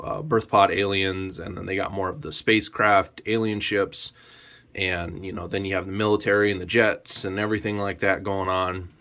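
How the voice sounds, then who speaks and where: A man's voice: low voice, a bit bright. A man talking casually and unscripted as a reviewer in a vlog, rambling along with frequent "you know" hedges. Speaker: YouTube collector reviewer.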